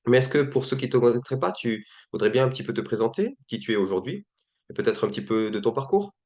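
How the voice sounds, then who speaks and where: A man hosting a faith-based interview, speaking hesitantly with frequent pauses and fillers. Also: medium pitch, expressive, darkish tone.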